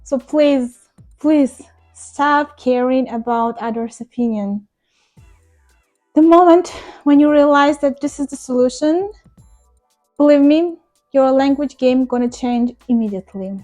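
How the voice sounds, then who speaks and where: Young non-native woman ESL teacher, high but mellow voice, earnest and encouraging, soft music underneath. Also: measured pace. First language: not English. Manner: earnest, encouraging, direct address to viewers. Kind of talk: talking-head teaching vlog.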